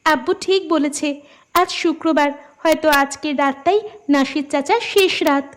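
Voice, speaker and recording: Woman voicing a very high-pitched young daughter. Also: normal speed, close to an everyday voice. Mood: earnest. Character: earnest young village daughter. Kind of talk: cartoon dubbing.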